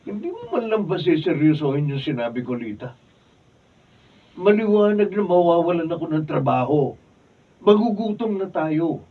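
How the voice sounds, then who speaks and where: A man's voice: on the high side, big pitch swings, normal speed, pauses often. A man acting a worn-down, grumbling road labourer husband of about fifty in a Filipino radio drama, with a plaintive manner. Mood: distressed, pleading. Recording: distant, dull, faintly noisy studio recording.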